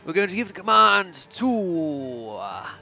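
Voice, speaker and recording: A man's voice: high-pitched, sing-song, slow pace, gravelly, pushed and bright, soft background music. Theatrical young man with put-on Austrian accent. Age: 20s. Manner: theatrical, mock-imperious. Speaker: gaming channel host. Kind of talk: playful strategy game let's play commentary.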